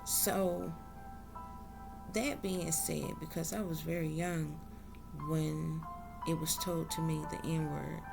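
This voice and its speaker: Woman vlogger, low gravelly voice, unhurried and reflective. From South Carolina, US. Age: adult. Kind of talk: slow reflective monologue.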